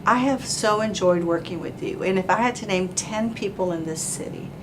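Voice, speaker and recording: A woman hosting an interview, warm and formal, full of praise and asides. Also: medium pitch, moderate pace, background noise. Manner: effusive, praising, fond of "you know" and "like". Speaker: interview host, chamber of commerce president.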